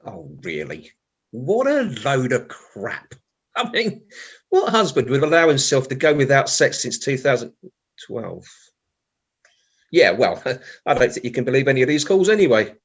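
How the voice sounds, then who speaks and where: A man's voice: medium pitch, huge pitch swings, normal speed, pauses often, breathy edge, highs cut off, heavily acted. Man playing a grumpy British husband, wildly swinging intonation. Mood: scornful. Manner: sardonic, grumbling. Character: grumpy, dismissive middle-aged British husband. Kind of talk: radio play comedy acting.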